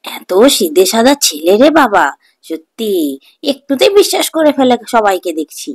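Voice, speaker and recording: A woman voicing a jealous, scheming middle-aged male street-food seller in a children's cartoon, gruff and sneering. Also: on the high side, sing-song, moderate pace. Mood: sly, scheming. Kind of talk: Bengali children's cartoon dubbing.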